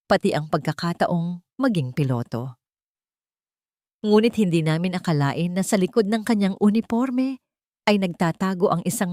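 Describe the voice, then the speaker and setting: A woman's voice: lowish voice, darkish tone, no room sound, studio quality, lightly acted. A woman voicing a scheming older rich matriarch who fakes grief, with a tremulous, mock-sorrowful delivery.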